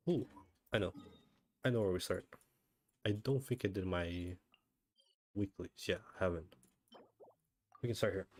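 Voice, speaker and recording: Low-voiced man rambling on a game livestream. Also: slight background noise. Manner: rambling, thinking out loud, self-questioning. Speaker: livestreamer, gamer. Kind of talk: casual livestream chat while playing a game.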